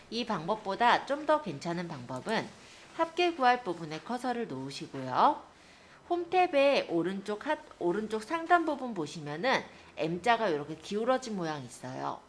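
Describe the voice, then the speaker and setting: A woman teacher giving a step-by-step software tutorial, explaining politely to learners. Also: lowish voice, slight background noise.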